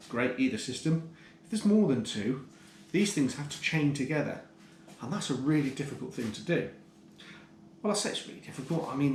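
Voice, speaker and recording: A British man giving a casual, enthusiastic, explanatory product review to camera as a motorcycle vlogger. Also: medium pitch. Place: indoors, in a kitchen. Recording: roomy echo, faint background noise.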